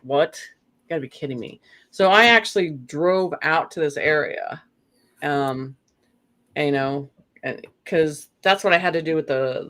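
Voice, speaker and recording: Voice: medium pitch, a bit bright. A guest in a casual spiritual conversation, rambling along with lots of "you know" and restarts.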